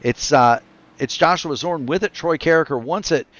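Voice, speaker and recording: A man calling a virtual stock car race as play-by-play commentator, quick and excitable on the action, his voice getting tired. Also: on the high side, no room sound, dull audio. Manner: rapid excited play-by-play, self-correcting. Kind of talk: sim racing commentary.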